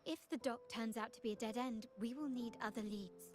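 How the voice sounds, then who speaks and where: A woman plays a poised young heiress in a video game. Her voice sits a little high, and she speaks formally with calm resolve while game music runs underneath. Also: fairly quick, lightly acted. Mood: composed. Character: poised young noblewoman, family heir. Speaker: game character.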